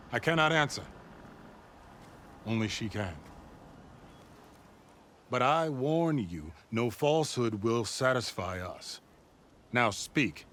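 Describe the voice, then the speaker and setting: A stern overseer from a video game, suspicious and imperious. His medium-pitched voice delivers formal, measured statements. Faint ambient noise sits behind him. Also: a bit bright. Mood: stern, challenging. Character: stern, suspicious overseer of a secretive futuristic order. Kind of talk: video game cutscene acting.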